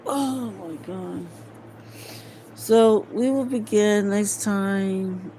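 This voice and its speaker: A woman reading a novel aloud informally, halting and stumbling over words, with muttered casual asides to herself. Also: medium pitch, dark tone, slight background noise. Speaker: amateur reader of a novel aloud.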